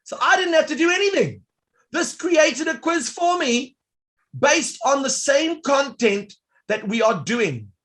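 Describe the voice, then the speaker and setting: A man presenting a live online workshop, demonstrating software in an upbeat, showman-like way that talks directly to the audience. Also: extremely high pitch.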